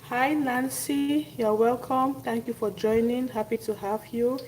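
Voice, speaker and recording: A woman's voice: on the high side, fairly even intonation, a little slow, darkish tone, far from the mic, a little hiss or hum, low-quality recording. A woman with a Cameroonian accent chatting casually as host of a cooking live stream, often repeating her phrases and addressing viewers directly. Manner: repeats phrases, thanks and greets viewers by name.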